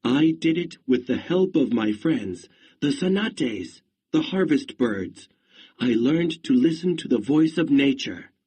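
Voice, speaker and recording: A man voicing a humble young farmer in a storybook read-along, calm and earnest. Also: mid-range voice, a little slow, breathy edge.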